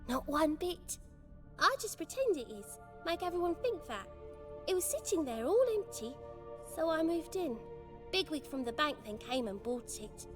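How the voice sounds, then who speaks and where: A woman's voice: high-pitched, very animated, normal speed, music in the background. An actress voicing a mischievous, playful little girl godling in a video game, with a childlike, teasing British manner of speech. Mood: confiding, sly. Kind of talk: video game character acting.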